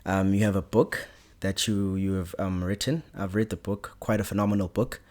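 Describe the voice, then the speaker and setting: A man's voice: sits low, steady tone, moderate pace. A young South African man hosting an interview podcast, speaking hesitantly with many restarts. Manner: hesitant, many ums and restarts, soft-spoken. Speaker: podcast host.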